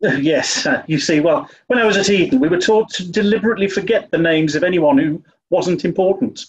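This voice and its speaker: An actor playing a dim-witted, snobbish English gentleman with an upper-class accent, flustered and hesitant, in a 1940s radio play style. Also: sits high, brisk, highs cut off, lightly acted. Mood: flustered, haughty.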